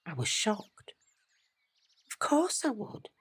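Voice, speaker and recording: An older woman playing a loving, regretful British grandmother in an audio drama, confiding her story in a reflective, measured way. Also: mid-range voice, wildly swooping pitch, slightly rough, exaggerated voice. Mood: tense, remembering.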